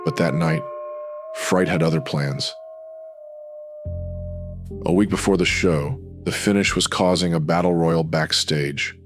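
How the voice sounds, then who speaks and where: A man narrating a horror anthology in an ominous, measured pulp radio-drama style, in short dramatic phrases. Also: low voice, steady tone. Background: music.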